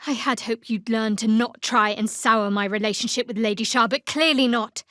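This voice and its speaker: Casting: young female cleric for fantasy RPG; guarded, cool, dry wit, slightly high voice, close dry recording. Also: steady tone, moderate pace, sharp tone, no echo. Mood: stern. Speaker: video game character. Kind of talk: scripted fantasy game dialogue.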